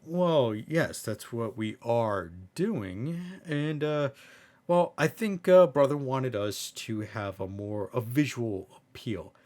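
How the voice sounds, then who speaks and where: A man's voice: medium pitch, takes it slowly, a little mellow, close to an everyday voice. A man playing a chatty, fussy hellhound brother in skit banter, explaining and coaxing in a hesitant way.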